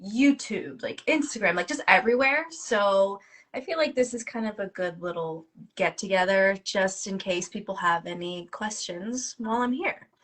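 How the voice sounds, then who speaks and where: Sniffly woman with a cold, slight rasp. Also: medium pitch, moderate pace, a bit bright. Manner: self-deprecating, giggly. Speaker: guest nail product creator. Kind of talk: casual chat. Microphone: video call.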